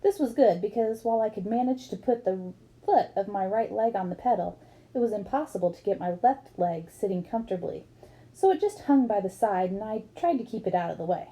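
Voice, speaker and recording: A woman's voice: medium pitch, animated, brisk, mellow. A woman reading a book aloud expressively, as for children, acting up the dialogue. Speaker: book reader.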